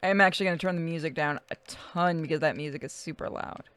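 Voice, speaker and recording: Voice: medium pitch, fast talker, a bit bright, smooth, no room sound. A game stream host chatting casually over gameplay and talking to viewers and co-players. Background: faint video game music.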